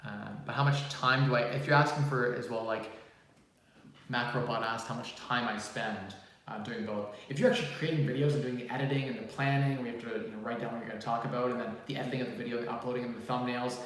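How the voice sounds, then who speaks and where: A young man in his twenties talks quickly and casually to his viewers, answering questions with plenty of fillers. His voice sits at an ordinary middle pitch. It rings with heavy echo off the bare walls of an empty room, picked up from a phone several feet away. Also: steady tone, fairly bright tone. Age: 20s. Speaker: live stream host answering viewer questions. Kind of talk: casual live-stream Q&A. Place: large empty room, new home office. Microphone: phone mic about six feet away. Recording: echoey empty room, distant phone mic.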